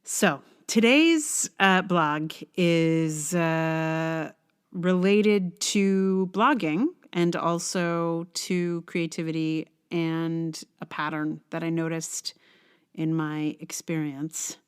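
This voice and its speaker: A middle-aged woman hosting a personal podcast, speaking in an expressive, conversational way with emphasis on key words. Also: sits low, very slow, clear, resonant tone. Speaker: podcast host and writer. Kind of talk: personal podcast, chatting and reading own blog aloud.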